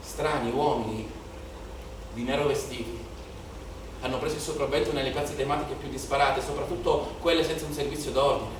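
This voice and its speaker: A man's voice: mid-range voice, fairly even intonation, fairly quick, close to an everyday voice. A male stage actor playing an impassioned, haunted young protester in a declamatory theatrical monologue, with incantatory repetition. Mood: tense, suspicious. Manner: declamatory, incantatory repetition, swelling from hushed to shouted. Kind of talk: theatrical dramatic monologue in Italian political theatre. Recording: very echoey theatre, distant mic, noisy.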